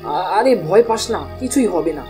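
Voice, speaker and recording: A woman's voice: fairly low pitch, animated, unhurried, dark tone, soft background music. A woman playing an eager, money-hungry young village man in a cartoon story, informal and coaxing.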